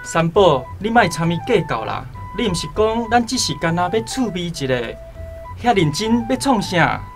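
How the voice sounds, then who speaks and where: An actor in a radio drama playing an earnest young scholar who pleads and remonstrates like a concerned friend. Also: male voice, sits high, very animated, normal speed, music in the background. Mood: placating. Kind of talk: Taiwanese radio drama acting.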